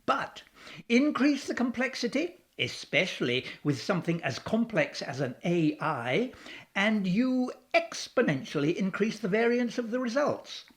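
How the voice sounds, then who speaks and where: Measured, expressive female scientist. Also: mid-range voice. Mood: lecturing. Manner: measured, lecturing. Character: philosophical, resolute female AI scientist, a doctor. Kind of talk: radio play acting.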